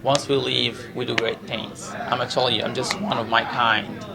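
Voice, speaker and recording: Gravelly Moroccan-accented male fighter. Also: medium pitch, steady tone, moderate pace, over a noisy crowd. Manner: earnest, repetitive, non-native English with frequent 'you know'. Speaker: professional MMA fighter. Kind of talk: press interview, casual chat.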